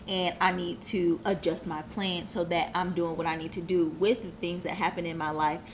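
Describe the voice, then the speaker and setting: A woman's voice: on the low side, fairly even intonation, moderate pace, a little hiss or hum. A woman in her twenties, a business owner, talking earnestly and reflectively as an interview guest, with many filler phrases. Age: 20s. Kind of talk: casual interview talk.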